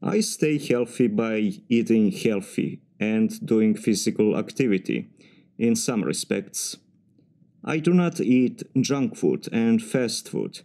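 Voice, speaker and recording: A man with a Serbian accent speaks in a formal, measured way, phrasing things a little stiffly. His voice sits at an ordinary pitch with a dark, mellow tone. He delivers practice answers as if timed for a spoken test.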